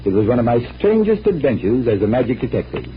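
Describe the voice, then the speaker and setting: An actor playing a composed, mysterious stage magician and detective, with polished and deliberate speech in old-time radio style. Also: male voice, mid-range voice, very animated, lightly acted. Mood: genial, mysterious. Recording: muffled old radio recording, faint noise.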